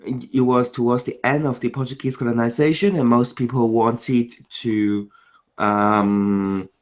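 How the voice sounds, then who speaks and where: A man from Macau whose first language is Cantonese, answering interview questions in English in a casual chat, explaining in quick bursts and rattling off lists. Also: lowish voice. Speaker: interview guest.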